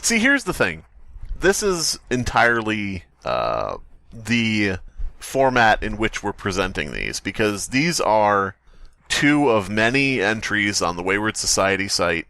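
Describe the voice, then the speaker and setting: A man's voice: fairly low pitch, big pitch swings, unhurried, creaky, sharp tone, no room sound. A man co-hosting a casual podcast who rambles, hedges and critiques the stories. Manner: rambling, lots of fillers and hedges. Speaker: podcast co-host.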